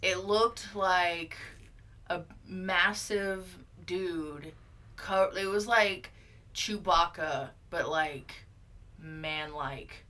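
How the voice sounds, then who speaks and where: Female improv player as a spoof eyewitness, bright forward voice, casual and stubbornly matter-of-fact. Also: fairly low pitch, drawn-out words. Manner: insistent, matter-of-fact about absurd claims. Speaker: mock eyewitness being interviewed. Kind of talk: improvised comedy interview, casual storytelling.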